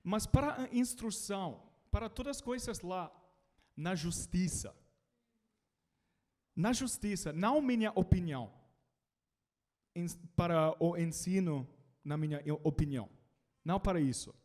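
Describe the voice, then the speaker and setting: A man's voice: sits high, measured pace, pauses often. A British man, a native English speaker, preaching a sermon in learner Portuguese and calling out to the congregation. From Britain. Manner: animated, calls out to the congregation.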